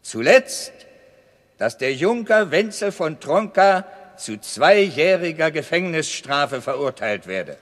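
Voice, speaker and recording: Radio drama lawyer, high register, declamatory and exact, ringing courtroom reverb. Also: male voice, sing-song, slow pace, a bit bright, full character voice. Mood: formal, emphatic. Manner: declamatory, precise, emphatic. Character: formal court advocate reading out a verdict. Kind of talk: German radio play acting. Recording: long reverberant hall.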